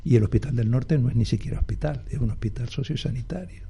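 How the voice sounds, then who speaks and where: An older male doctor, interviewed on radio, speaking in a halting way with many restarts and fillers. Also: mid-range voice, warm tone. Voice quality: gravelly, husky. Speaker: doctor and health advocacy guest. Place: radio studio.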